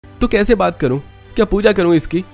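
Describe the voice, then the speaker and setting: Man doing a harsh, shouting young husband. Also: high voice, fairly quick, gravelly, faint music behind, voice pushed up. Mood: angry shouting. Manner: shouting, scolding.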